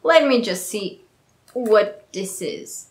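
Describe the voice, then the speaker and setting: A woman's voice: mid-range voice, animated, takes it slowly. A young woman whose first language is Portuguese, giving gushing, exclamatory reaction commentary at home, laughing often. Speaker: K-pop reaction vlogger. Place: small bright room at home, indoors. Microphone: earphone headset mic. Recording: roomy home echo with faint music behind.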